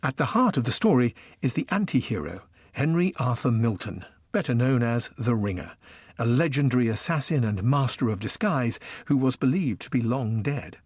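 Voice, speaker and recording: A man's voice: medium pitch, expressive, normal speed, darkish tone, slightly rough, no room sound, clean studio sound. A man giving a scripted introduction to a radio programme in a formal, informative way.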